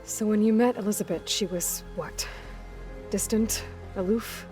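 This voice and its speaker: A woman's voice: mid-range voice, a little slow, darkish tone, faint music behind, close to an everyday voice. A young woman playing a determined young hunter. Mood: curious. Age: young adult. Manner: earnest, pressing questions. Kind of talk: video game cutscene acting.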